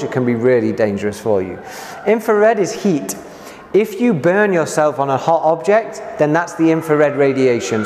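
A man's voice: fairly high pitch, a little mellow. A man in his forties teaching a classroom lesson, explaining emphatically and repeating key points. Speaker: physics teacher. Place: indoor classroom beside a presentation screen. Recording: echoey room, clear background noise.

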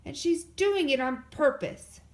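A woman's voice: sits high, measured pace, pitched above the performer's own voice. A woman voicing a cross young boy bear cub from a storybook, snarling and complaining. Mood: angry, shouting.

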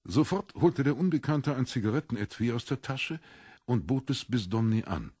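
A man's voice: medium pitch, animated, normal speed, mellow, breathy edge, dry recording, clean studio sound. A man narrating a literary audiobook from a script.

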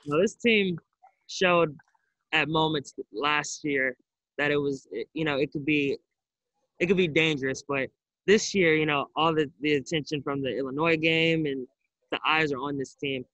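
A young man with a somewhat high voice puts questions as a sports reporter. He is conversational and wordy. The sound is dry, as if close to the mic on a video call. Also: moderate pace, sharp tone, dry recording, highs cut off. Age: young adult. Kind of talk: press conference questions. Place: indoor arena stands.

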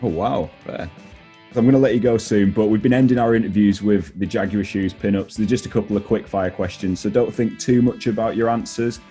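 Low-pitched young man hosting a casual interview. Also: a little fast, warm tone, faint music behind. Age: young adult. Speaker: radio show host, interviewer. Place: indoors at home, on a video call. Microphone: headset.

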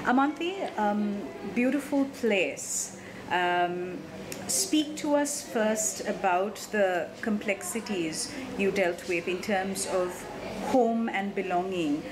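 A middle-aged woman with a Sri Lankan accent, hosting a formal book interview in a measured, articulate way. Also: medium pitch, expressive, background noise. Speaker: television interview host. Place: indoor room, seated.

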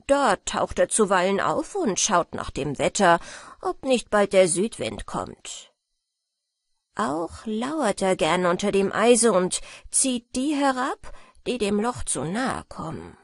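Slow, mysterious old grandmother storyteller, read by a woman. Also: medium pitch, sing-song, no room sound, clean studio sound, exaggerated voice. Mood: eerie, warning. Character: old grandmother telling eerie lake legends to children. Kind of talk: fairy-tale storytelling.

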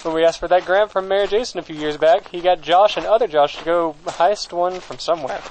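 A man playing a nonchalant, deadpan techno-mage engineer in a comic audio drama. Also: high-pitched, brisk, a little hiss or hum, lightly acted, poor audio. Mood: matter-of-fact.

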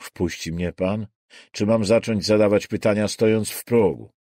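A man voicing a tired, low, gruff male intruder who poses as a policeman in a thriller audiobook. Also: moderate pace, dry recording, clean studio sound, close to an everyday voice. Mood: insistent. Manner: insistent, tired, calmly threatening. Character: low, weary, rough-voiced male intruder posing as policeman, menacing.

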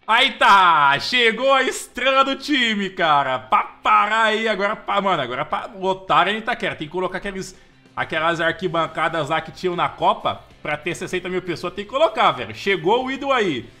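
A Brazilian man talks through his football game in a loose, slangy way, with his voice sitting high and ringing bright. He keeps up a casual let's-play chatter and turns loud when hyped. Faint game music plays in the background. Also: moderate pace. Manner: slangy, chatty, keeps saying "mano" and "velho"; gets loud and excited over the crowd. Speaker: gameplay commentator.

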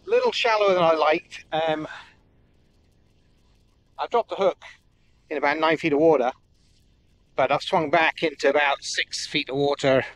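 Man on a sailboat, engine rumble. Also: poor audio. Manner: relaxed, rambling, many ums and pauses. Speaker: solo sailing vlogger. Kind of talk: casual running commentary to camera. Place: outdoors on a sailboat on open water. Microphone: action camera on the boat. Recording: wind and boat engine rumble behind.

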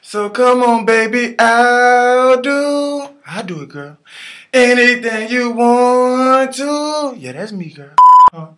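A man's voice: extremely high pitch, expressive, takes it slowly, fairly bright tone. A young adult man casually singing in an amateur way and bantering between takes.

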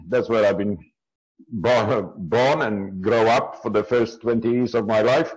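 A man in his fifties from Poland, speaking English with a Polish accent as an interview guest on a video call, with halting, non-native phrasing and frequent restarts. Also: on the low side, darkish tone, rough recording. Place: small indoor room at home. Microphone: earbud headset on a video call.

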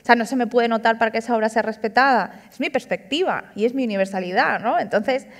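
A woman's voice: fairly high pitch, quick pace. A woman in her thirties, an author, speaking casually and animatedly at an onstage book talk, tossing in frequent tag questions. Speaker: author and comic artist. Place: large auditorium stage. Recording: long hall reverb.

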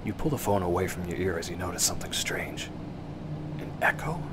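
A man narrating a suspense radio drama in the second person, with measured, hushed storytelling. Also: low voice, lively intonation, very noisy.

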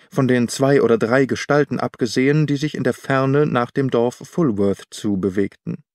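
A man narrates an audiobook in a formal, measured way. His voice sits at a medium pitch. The recording is very dry and close, with hardly any room sound. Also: a little mellow, no room sound. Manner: measured, formal literary storytelling.

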